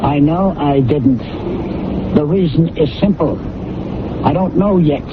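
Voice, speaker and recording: A man's voice: fairly high pitch, slow, phone-quality sound, background noise. An American man playing a driven, stubborn radio producer in a 1970s radio drama, on an old broadcast recording. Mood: troubled, determined.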